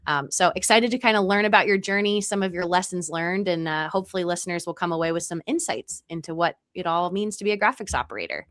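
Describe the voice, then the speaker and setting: Bright, upbeat woman podcast host. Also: fairly low pitch, quick pace, few pauses. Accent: Pennsylvania. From Delaware County, Pennsylvania, USA. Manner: upbeat and chatty, with frequent fillers. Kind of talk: conversational podcast hosting.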